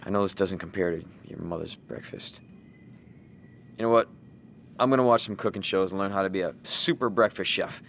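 A man playing a weary, sardonic single father, gruff but caring, who swears when defensive. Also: low-pitched, fairly quick, a bit bright, a little hiss or hum.

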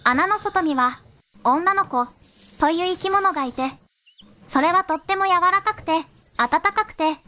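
Very high bright synthetic female narrator. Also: slight background noise. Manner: measured, storybook phrasing, synthetic. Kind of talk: scripted fairy-tale narration.

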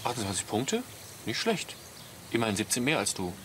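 An actor playing a middle-aged camper husband with a dry, smugly teasing way of talking in a German radio comedy. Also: male voice, mid-range voice, very animated, fairly quick, background noise, natural voice.

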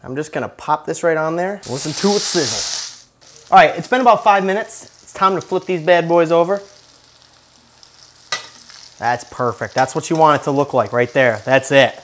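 Casual male cooking host, 30s, jokey and relaxed, slightly high voice, home kitchen. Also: normal speed, long pauses, a little hiss or hum. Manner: laid-back, jokey, talks to camera while cooking.

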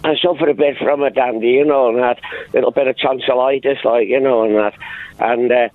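Hoarse guy calling in, lots of uhs, tinny dry phone line. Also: mid-range voice, steady tone, no echo. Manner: rambling, halting with many fillers. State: sore throat, losing his voice. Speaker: caller. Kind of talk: phone-in call.

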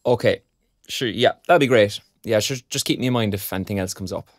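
A man's voice: on the low side, a little fast, dry recording, studio quality, close to an everyday voice. An actor playing a chatty, put-upon, good-natured younger father in an audio drama, speaking colloquially with an Irish accent. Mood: distracted. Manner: colloquial, animated.

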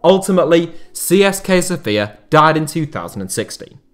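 A man, the host of a channel, reading a scripted documentary narration in a measured, even storytelling manner on a close voice-over mic. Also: fairly high pitch, faint music behind. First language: not Bulgarian.

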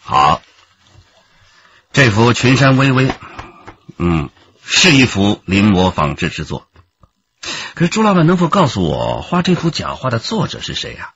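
An actor playing a shrewd, authoritative police inspector in a radio drama, low and husky, probing and wry. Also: male voice, huge pitch swings, measured pace, pauses often, fairly bright tone, lo-fi sound, slight background noise, exaggerated voice. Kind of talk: Chinese radio drama acting.

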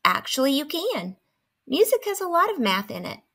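A woman's voice: sits high, sing-song, normal speed, creaky, bright, forceful tone, voice pushed up, full character voice. A woman voicing a curious, cheerful alien who patiently explains math in a children's storybook. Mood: encouraging. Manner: inquisitive, encouraging, explanatory.

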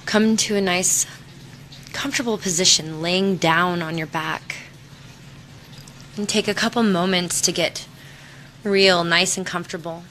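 A woman with a slightly low voice guides a body-scan meditation. She speaks very slowly and soothingly, leaving space between phrases. There is faint noise behind her. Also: steady tone, a bit bright. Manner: soothing, unhurried, with long pauses.

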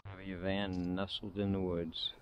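Low voice, American man, middle-aged, folksy and wry, casual vlog commentary, faint outdoor noise. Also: little pitch movement, unhurried. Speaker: vlogger. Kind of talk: casual walking-tour commentary. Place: outdoors in an overgrown field. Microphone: handheld action camera. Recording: outdoor handheld camera with background noise.

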